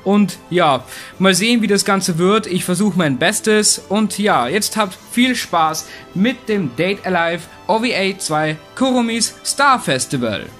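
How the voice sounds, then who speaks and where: Apologetic man with a highish voice. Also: lively intonation, a little slow, fairly bright tone, soft background music. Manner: apologetic, hesitant. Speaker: fan dubber introducing a video. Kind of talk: casual spoken intro to viewers.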